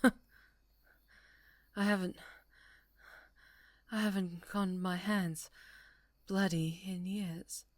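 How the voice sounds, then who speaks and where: A woman playing an obsessive, wounded superhero in a dramatic ASMR roleplay, halting and sweetly menacing, slipping into whispers. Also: fairly low pitch, steady tone, no room sound, clean studio sound. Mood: dark amusement.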